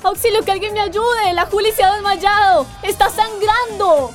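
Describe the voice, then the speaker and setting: High-voiced, concerned young woman. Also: normal speed, soft background music. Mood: panicked. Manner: concerned, questioning. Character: young, sympathetic, anxious female friend. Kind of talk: radio drama acting.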